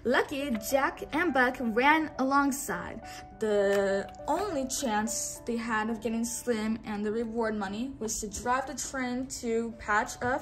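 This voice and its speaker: A woman's voice: sits high, a bit bright. A woman hosting a children's read-along, reading a storybook aloud in a teacherly way and inviting listeners to repeat words.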